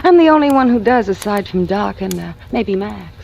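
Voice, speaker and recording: An actress playing a scheming, nervy femme fatale in a vintage radio crime drama, conspiratorial and melodramatic. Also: female voice, mid-range voice, animated, moderate pace, vocal fry, darkish tone. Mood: scheming, seductive. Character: frightened, scheming femme fatale, a gangster's lover. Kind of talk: 1940s-50s radio noir acting. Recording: muffled vintage radio recording.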